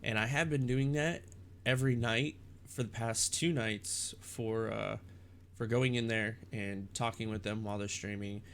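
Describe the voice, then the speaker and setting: Young man, stuffed-up nasal voice, low-ish pitch, halting casual vlog chatter, faint room noise. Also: steady tone, a bit bright. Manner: rambling, halting with many restarts and fillers. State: congested, stuffed-up nose. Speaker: streamer. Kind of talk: casual vlog talk to camera.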